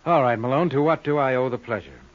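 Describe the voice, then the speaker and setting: An actor playing a brusque, self-assured, cagey gambling boss with clipped, sardonic lines in an old-time radio crime drama. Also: male voice, medium pitch, expressive, fast, highs cut off, close to an everyday voice. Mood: smooth, hospitable.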